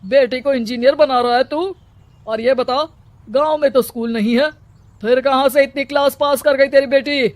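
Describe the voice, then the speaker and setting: A scheming, bossy village headman from a cartoon storybook, scolding the villagers, as a performer puts him on. The voice sits high and the recording is dry and close. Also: fairly even intonation, moderate pace, dry recording, natural voice. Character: scheming middle-aged male village headman.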